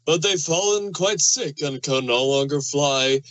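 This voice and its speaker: A man's voice: on the high side, unhurried, a bit bright, no echo. A man voicing a jolly old Santa Claus, kindly and hearty, telling his lines in storybook rhyme. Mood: earnest, troubled. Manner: hearty, theatrical storytelling in verse. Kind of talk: rhyming storybook fan fiction reading.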